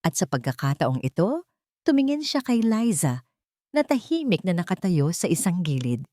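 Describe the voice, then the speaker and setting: A woman with a low-pitched voice tells a scripted, dramatic story. Her delivery is earnest and sentimental, with formal phrasing. The recording is dry, with no room sound. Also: big pitch swings, moderate pace, warm tone, no echo. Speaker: storyteller and channel host.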